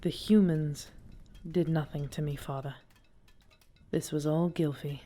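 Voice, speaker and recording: A woman's voice: sits low, steady tone, a little slow, mellow. A woman playing a defiant, principled dragon princess in an audio drama, speaking formally and with earnest emphasis. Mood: grave, measured. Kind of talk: dramatic audio roleplay acting.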